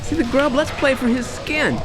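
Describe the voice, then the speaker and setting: A woman's voice: sits high, moderate pace, close to an everyday voice. An actress playing a menacing pool-hall crime boss in her thirties in a radio drama, sly and taunting as she speaks. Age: 30s. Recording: music behind the dialogue.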